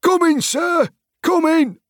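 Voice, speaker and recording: A man's voice: extremely high pitch, slow, a bit bright, no room sound, studio quality, voice pushed up, heavily acted. A man voicing an eager, cheery, deferential hobbit servant in a fantasy audiobook.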